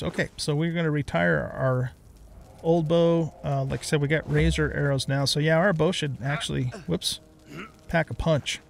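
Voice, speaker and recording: A man's voice: a little high, gravelly, slight background noise. A middle-aged man giving casual let's play commentary, rambling as he thinks aloud.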